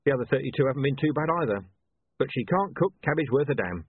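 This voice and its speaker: Man playing old steeplejack, cheeky, wheedling, colloquial, lively swoops, higher pitch. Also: fast talker, slightly rough, no echo, narrow, tinny audio. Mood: cheerful, wheedling. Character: cheeky sheepish old steeplejack threatening to jump.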